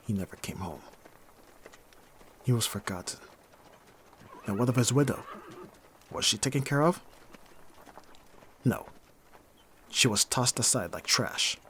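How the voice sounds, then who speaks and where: A man playing a bitter, hardened young thief in an ASMR roleplay, speaking with mockingly courteous, formal phrasing and pointed pauses. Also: lowish voice, a bit bright, a little hiss or hum. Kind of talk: scripted ASMR roleplay acting.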